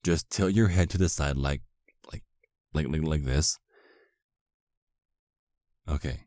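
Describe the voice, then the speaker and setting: A man playing a polite, seductive vampire husband in an ASMR roleplay drama, often dropping into a hushed, hypnotic manner. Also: a bass voice, slow pace, dry recording, studio quality. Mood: gentle, reassuring.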